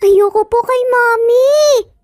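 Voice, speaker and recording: A woman's voice: extremely high pitch, slow pace, darkish tone, no room sound, studio quality, soft background music, voice pushed up. A woman voicing a frightened, stubborn small boy in an audio drama, plaintive and childlike. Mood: scared, upset.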